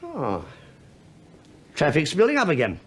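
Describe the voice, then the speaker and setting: A man's voice: fairly high pitch, huge pitch swings, measured pace, a little hiss or hum. An actor playing a sly, shabby British investigator in a radio play, sardonic and mock-deferential as he probes. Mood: rueful. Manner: sardonic, mock-deferential, probing, with dry understatement. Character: wry, sly, shabby male investigator posing as police inspector. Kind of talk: radio drama acting.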